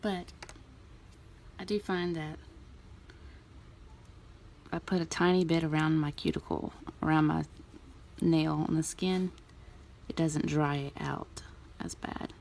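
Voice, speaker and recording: Low, gravelly-voiced woman giving nail tips. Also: takes it slowly, pauses often. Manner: relaxed, chatty, many ums. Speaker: nail art vlogger. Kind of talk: casual tutorial talk.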